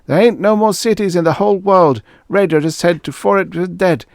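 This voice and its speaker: A man voicing a panicked country farmer father in an audiobook story, shouting in folksy, ungrammatical speech. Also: sits high, fairly quick, vocal fry, no room sound, clean studio sound, voice pushed up. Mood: panicked alarm.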